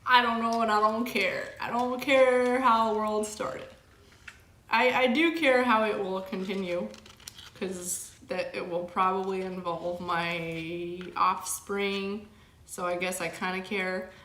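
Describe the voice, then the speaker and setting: A woman's voice: medium pitch, steady tone, takes it slowly, fairly bright tone, slight background noise. Rambling young woman in an echoey room. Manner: rambling, filler-heavy, frequent "like". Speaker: livestream host reading chat. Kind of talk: casual livestream chat. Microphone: camera mic.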